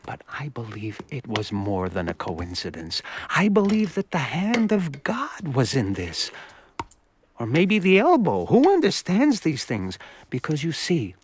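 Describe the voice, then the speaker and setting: An actor playing a naive, philosophical village simpleton telling a cartoon folk tale, in folksy, musing asides. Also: male voice, mid-range voice, wildly swooping pitch, a little slow, a little hiss or hum. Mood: musing, confiding. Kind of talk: comic folk-tale narration for animated short. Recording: music behind the voice. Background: music.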